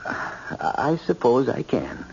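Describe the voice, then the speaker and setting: A man's voice: medium pitch, sing-song, slow pace, vocal fry, a little mellow, a little hiss or hum, full character voice. An actor playing a weary, hesitant middle-aged judge and father in an old-time radio drama. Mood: weary, resigned. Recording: thin, phone-like old radio recording.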